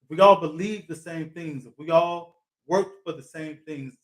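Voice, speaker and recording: A man's voice: fairly high pitch, moderate pace, a bit bright, rough recording. A man hosting a live talk show, interviewing a guest in a thoughtful way with frequent fillers. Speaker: host.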